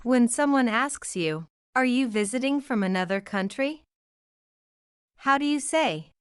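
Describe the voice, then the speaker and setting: A woman leads a beginner English lesson in a high, bright voice. Her pitch jumps about as she speaks slowly and clearly. The sound is dry and close, with quiet music behind her. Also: expressive, no echo. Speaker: English teacher.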